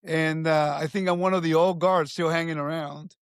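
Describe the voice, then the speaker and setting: A man in his fifties from Puerto Rico speaks with a slightly raised pitch, recounting his life in an earnest, halting way. The sound is close and dry, with no room around it. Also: fairly even intonation, clear, resonant tone, no echo. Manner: earnest, with frequent restarts and fillers. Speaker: guest. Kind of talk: conversational life story.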